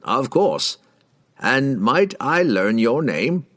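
Fantasy storybook wizard: oily, amused schemer, raspy and grandiose, lifted pitch with wide swings. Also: male voice, sing-song, fairly bright tone, no room sound, studio quality, exaggerated voice. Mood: smooth probing. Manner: grandiose, drawn-out, courtly delivery.

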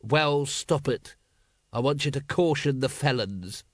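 A man's voice: mid-range voice, a little slow, no echo, clean studio sound, lightly acted. A man voicing a weary, exasperated police captain with a hesitant, snappish authority, in a fantasy storybook.

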